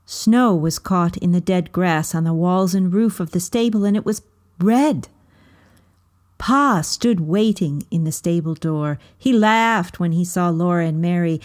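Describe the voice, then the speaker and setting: A woman reading a children's novel aloud as storybook narration, with measured, deliberate phrasing. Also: mid-range voice, a little mellow.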